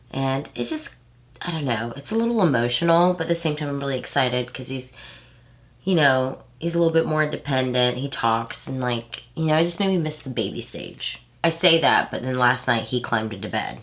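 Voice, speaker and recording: A woman's voice: a bass voice, a bit bright. A woman in her thirties with a stuffy, nasal voice, chatting casually as she does a makeup tutorial for her channel. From Houston, Texas. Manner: chatty, rambling asides with many fillers. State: stuffy nose from allergies. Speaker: beauty vlogger.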